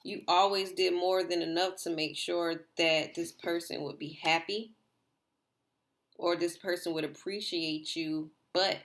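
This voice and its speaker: A slightly hoarse woman talks her viewers through a tarot spread in a loose, rambling way, saying "like" again and again. Her voice sits low and carries a gravelly fry. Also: fairly even intonation, unhurried, a little hiss or hum. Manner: rambling, repeats herself, filler "like". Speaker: tarot reader. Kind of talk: casual tarot reading to viewers.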